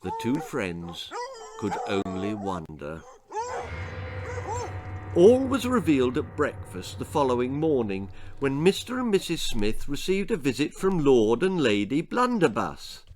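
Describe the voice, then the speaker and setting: A man's voice: medium pitch, huge pitch swings, a little slow, darkish tone, slight background noise. A man with a British accent reading his own story to children in a dramatic storytelling style. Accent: British English. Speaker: author reading own stories.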